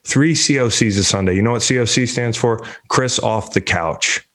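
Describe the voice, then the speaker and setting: A man's voice: mid-range voice, moderate pace, fairly bright tone. A man in his thirties hosting a casual sports show, joking, rambling and swearing freely, a bit congested.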